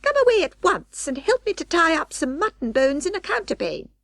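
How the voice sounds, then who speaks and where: Shrill female rat, storybook. Very high-pitched, bossy, argumentative, dry close sound. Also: very animated, a little fast, fairly bright tone, no echo, full character voice. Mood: snappish, bossy. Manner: argumentative, snappish. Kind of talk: storybook character reading.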